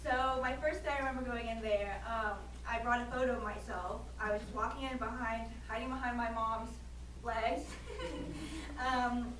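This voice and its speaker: A woman's voice: fairly high pitch, steady tone, normal speed, fairly bright tone. Hesitant young woman, echoey distant room. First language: Vietnamese. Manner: hesitant, many ums and restarts. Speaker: student presenter. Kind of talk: class presentation. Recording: echoey room from far off, noisy.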